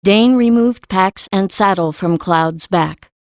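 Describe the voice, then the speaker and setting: A woman's voice: mid-range voice, slow, a little mellow, no echo, clean studio sound. A woman reading scripted story narration for an audiobook.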